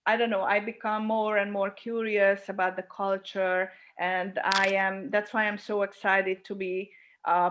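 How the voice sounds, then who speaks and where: A middle-aged woman talks in a thoughtful, searching way and pauses to find her words. The audio is a dull, lo-fi video call with her voice at a middle pitch. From Hungary. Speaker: guest, filmmaker. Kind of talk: casual interview chat.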